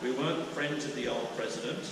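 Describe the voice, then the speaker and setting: A man's voice: medium pitch, a little fast, gravelly. Chatty man in echoey hall. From Australia. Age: middle-aged. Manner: chatty, loud and storytelling. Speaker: guest speaker. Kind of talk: church talk. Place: church hall. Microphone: handheld mic. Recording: echoey hall from far off, with noise.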